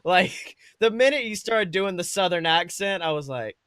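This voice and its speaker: A woman co-hosting a podcast, chatting casually about films in a blunt, profane, jokey and animated way. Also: on the low side, moderate pace, clean tone, dry recording, studio quality. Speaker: podcast co-host. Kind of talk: casual film review chat.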